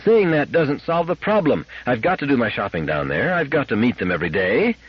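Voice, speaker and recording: Anxious, insistent housewife and mother, performed by a man; raised pitch, husky edge, lo-fi sound. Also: sing-song, a little fast, no echo. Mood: insistent, worried. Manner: insistent, argumentative. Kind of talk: audiobook character acting. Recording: dull lo-fi radio-like audio.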